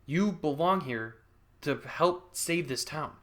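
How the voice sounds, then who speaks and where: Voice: medium pitch, expressive, slow pace, a bit bright, pitched above the performer's own voice. A performer voicing a stern male doctor who interrogates with insistent, emphatic accusations. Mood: stern insistence.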